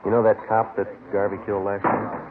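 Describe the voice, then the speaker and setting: An actor playing a dogged police detective in a 1950s radio drama, clipped, deadpan and matter-of-fact. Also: male voice, fairly low pitch, brisk, close to an everyday voice. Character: dogged, businesslike male police detective sergeant. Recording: tinny old radio recording, crowd chatter.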